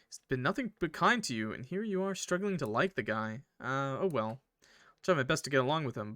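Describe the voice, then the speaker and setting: A man with a fairly high voice reads a visual novel aloud as a gaming creator. His delivery is informal and expressive, moving between narration and casual commentary. The recording is close and dry. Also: no room sound. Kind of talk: visual novel let's play, reading aloud with casual reactions.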